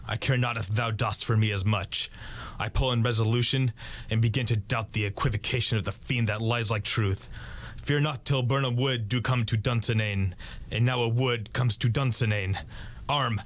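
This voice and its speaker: A man's voice: medium pitch, a bit bright, close to an everyday voice. A young man playing a defiant, doomed warrior king in a Shakespearean radio play, delivering verse in a declamatory way. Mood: grim, wary. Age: young adult. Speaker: student actor.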